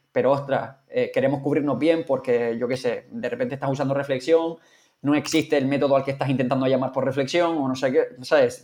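A man's voice: mid-range voice, a little mellow. A man chatting casually as a podcast co-host, thinking aloud with frequent restarts. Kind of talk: casual chat.

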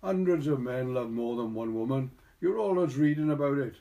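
A young two-timing sweetheart, voiced by a male reader in a storybook. He pleads and protests defensively, pitched a little above the narration. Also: animated, normal speed, gravelly, dark tone.

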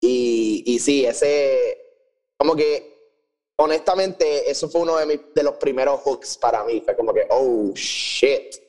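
A man's voice: medium pitch, unhurried, a little mellow. A man with a Puerto Rican accent co-hosting a podcast review, chatting casually and mixing English phrases into his Spanish. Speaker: podcast co-host reviewing an audio drama. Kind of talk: casual chat.

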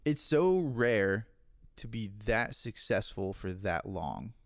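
A relaxed man in his thirties talks in an easygoing, chatty way, laughing often. His voice sits a little low, and the recording is close and dry with no room sound. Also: slow pace, dry recording. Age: 30s. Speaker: podcast guest, online campus pastor. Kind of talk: casual podcast chat.

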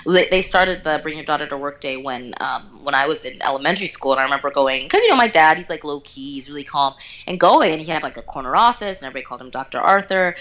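A woman from Houston, Texas, telling her story in an animated, conversational way as an interview guest. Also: low-pitched, fast talker. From Houston, Texas, United States. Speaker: interview guest, startup founder.

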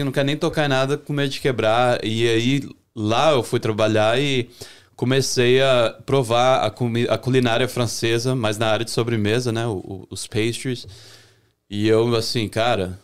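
A young Brazilian man with a Brazilian Portuguese accent, a chef chatting as a podcast guest in a relaxed way, often restarting his sentences. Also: medium pitch, a little fast, smooth. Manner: relaxed, talks with many restarts and self-corrections. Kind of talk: casual interview chat.

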